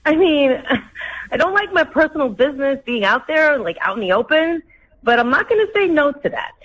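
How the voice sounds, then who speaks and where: A woman's voice: high voice, sing-song, normal speed, muffled recording. A woman in a guarded phone conversation, answering in a curt, defensive way. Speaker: person phoned by a radio show.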